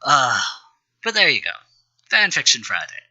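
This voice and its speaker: A man's voice: mid-range voice, wildly swooping pitch, moderate pace, bright, forceful tone, highs cut off. A man reading his own amateur story aloud as a host, with wry, self-deprecating asides.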